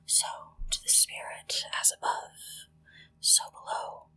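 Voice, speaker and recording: A woman whispering gently and deliberately into a close microphone, leading a ritual in ASMR style with hushed pauses. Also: rough recording. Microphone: close-up sensitive microphone.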